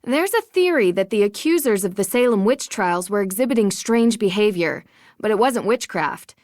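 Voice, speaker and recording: A woman playing a meticulous, inquisitive young amateur detective in a video game, speaking in a measured, articulate way. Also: mid-range voice, expressive, a little mellow, close to an everyday voice. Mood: explaining, serious. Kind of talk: scripted video game voice acting.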